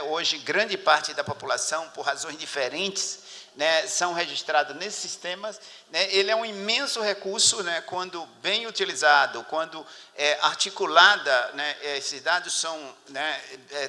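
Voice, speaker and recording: A man with a high-ish, very bright, piercing voice gives a conference talk. He tags almost every phrase with a questioning filler. The sound carries a long hall reverb. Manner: tag-heavy, constantly adding 'né'. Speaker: panel speaker, health researcher.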